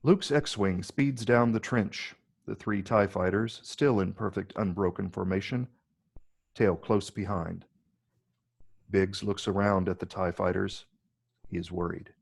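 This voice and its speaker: A man narrates a script's stage directions in a low register. His voice has a heavy gravelly fry as he reads out the scripted action. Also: fairly even intonation, a little slow.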